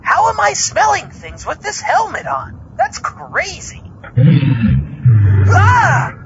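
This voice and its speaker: A man voicing an excitable, silly zombie astronaut in a comic audio drama, whiny and scatterbrained. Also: extremely high pitch, slow, muffled recording, background noise, heavily acted. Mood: excited wonder.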